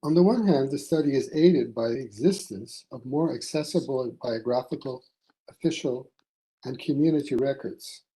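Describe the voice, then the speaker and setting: A man delivering a formal academic conference paper from a prepared text, in measured, deliberate phrases. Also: medium pitch, mellow, poor audio. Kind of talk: formal scholarly lecture read from a prepared text.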